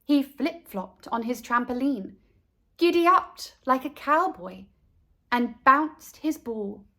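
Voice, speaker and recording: A woman reading a picture book aloud to young listeners as a storyteller, deliberate and emphatic, speaking to them directly. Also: high-pitched, expressive, sharp tone. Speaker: read-aloud storyteller for children. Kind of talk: expressive picture book narration.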